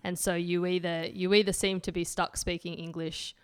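A woman in her late twenties talks about her background as a podcast guest. Her voice sits a bit low and sounds clean and smooth. She answers thoughtfully, often restarting a phrase, recorded close and dry. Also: little pitch movement, moderate pace, dry recording. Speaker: podcast guest, healthy eating website creator. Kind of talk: casual podcast interview.

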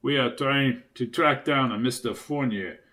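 A man voicing a stern, coldly polite older German interrogator in an audio story, formal, measured and quietly threatening. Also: mid-range voice. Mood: formal, insistent.

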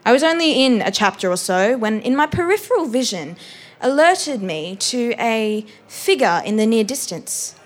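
A young woman giving an expressive live reading of a first-person story, acting out bits of dialogue. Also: fairly high pitch, moderate pace. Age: young adult. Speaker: performing arts graduate reading a story aloud.

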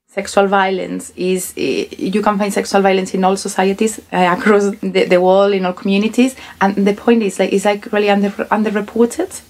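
A woman's voice: mid-range voice, gravelly, a little mellow. A woman with a Spanish accent, a university staff member, talking hesitantly in a podcast conversation with many fillers.

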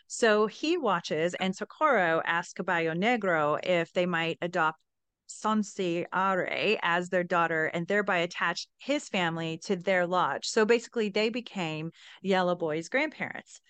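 A woman podcast host narrates a story with a smooth, clean voice that sits a little low. She moves between scripted narration and casual talk, and the sound is close and dry. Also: measured pace, a bit bright, no echo.

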